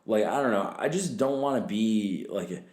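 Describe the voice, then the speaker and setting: A man in his twenties chatting loosely on a podcast, his voice a little low, filled with fillers and curses. The room echoes around him. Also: expressive, normal speed, a little mellow. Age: 20s. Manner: loose, swearing, laughing, trailing fillers. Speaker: podcast guest. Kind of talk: casual chat.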